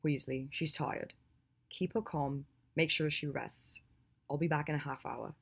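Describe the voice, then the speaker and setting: A woman voicing a reserved, weary, quietly caring man in an audiobook, with a clipped, calm manner. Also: deep voice, measured pace, vocal fry, breathy edge, exaggerated voice. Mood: quiet, instructing. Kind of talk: audiobook character acting.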